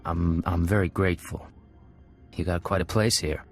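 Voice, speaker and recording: A man portrays a video game secret agent with a low voice. He is cool, terse and controlled, with soft music underneath. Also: fairly even intonation, normal speed, natural voice. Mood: polite.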